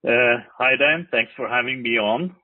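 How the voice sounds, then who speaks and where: A middle-aged man from Switzerland with a Swiss accent, a podcast guest who speaks in measured phrases with many pauses. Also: mid-range voice, no echo. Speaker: guest, real estate lender. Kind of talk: podcast interview. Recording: dry, tinny phone-like line.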